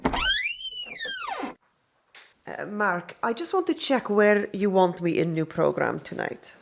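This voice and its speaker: Creaky-voiced, gentle circus woman. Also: medium pitch, big pitch swings, moderate pace, slight background noise. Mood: polite. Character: Agatha, gentle, devoted foreign circus performer. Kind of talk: radio drama acting.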